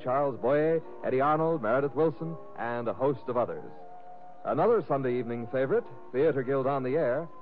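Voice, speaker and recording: A man's voice: a little high, narrow, tinny audio. An American man announcing on 1950s network radio in a polished, promotional style. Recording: band-limited vintage radio recording with loud music. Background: loud music.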